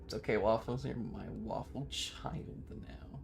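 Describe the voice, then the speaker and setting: A man's voice: mid-range voice, measured pace, vocal fry, noisy background. A man giving casual live game commentary and chatting with viewers in a playful, dramatic, sweary way. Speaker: livestreamer. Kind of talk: casual game stream commentary, reacting to chat.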